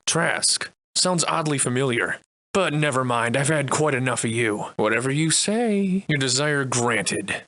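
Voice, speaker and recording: Man voicing a bright, sardonic old-fashioned gentleman adventurer. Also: mid-range voice, no echo. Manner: florid archaic diction, theatrical and wry. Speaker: voice actor. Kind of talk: theatrical video game character acting.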